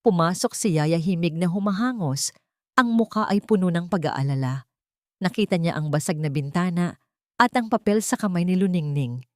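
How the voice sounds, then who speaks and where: Woman reading out a drama story, kinda low voice, dry sound no room. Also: animated, moderate pace, a little mellow, no echo. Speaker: narrator. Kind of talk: scripted dramatic story narration.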